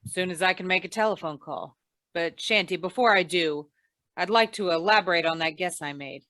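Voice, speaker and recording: A woman playing a wry, shrewd hard-boiled insurance investigator in deadpan 1940s radio noir style. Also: lowish voice, a little fast, no echo. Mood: wry, probing.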